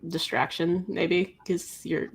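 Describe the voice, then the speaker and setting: Husky, low-pitched woman chatting casually. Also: level tone, slow pace. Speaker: co-host of a book readalong livestream. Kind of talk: casual book chat.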